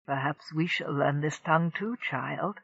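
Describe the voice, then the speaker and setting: A dignified winged alien elder who weighs every word, as a woman narrator puts him on. The voice sits low and hushed, slow and grave. It is heard on a dry, dull, lo-fi recording. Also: vocal fry, breathy edge, no echo, exaggerated voice. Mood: curious.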